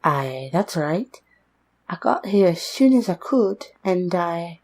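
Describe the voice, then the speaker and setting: A woman's voice: fairly low pitch, big pitch swings, slow, a little mellow, full character voice. An actress playing a meek, earnest young woman seeking work, timid, polite and deferential, in an old-time radio drama style. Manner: polite, timid, hesitant, formal.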